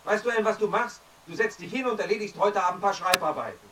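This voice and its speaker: An actor playing a loyal, concerned husband and best friend in a radio drama, speaking in a calming way. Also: male voice, high-pitched, quick pace, distant mic, rough recording. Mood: reassuring. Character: loyal, reassuring married man, best friend of a troubled caller. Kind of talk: radio play acting.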